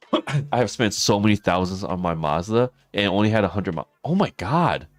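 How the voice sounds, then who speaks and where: A hoarse man, chatting casually with viewers on a livestream and laughing often. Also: on the low side, big pitch swings, moderate pace, no echo. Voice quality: raspy. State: hoarse, losing his voice. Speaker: streamer.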